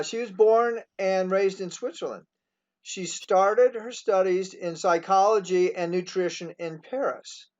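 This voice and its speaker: A man in his sixties hosts a relaxed interview, his speech halting and full of small restarts. His voice sits rather high for a man. The recording sounds dull and lo-fi. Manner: halting, many fillers and restarts. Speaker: host. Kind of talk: casual interview.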